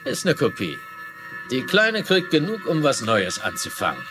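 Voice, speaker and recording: An actor playing a smug, mocking schemer in a German-dubbed TV crime drama. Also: male voice, on the high side, huge pitch swings, moderate pace, music in the background. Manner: mocking, oily. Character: smug, scheming male intruder claiming an inheritance.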